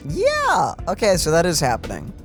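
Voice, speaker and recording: A woman with a very low voice plays and talks through a game. Her delivery is informal and slangy, swinging widely in pitch, over faint game music. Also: huge pitch swings, normal speed. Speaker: let's play host and player. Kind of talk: casual gameplay commentary, reading dialogue aloud. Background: video game music.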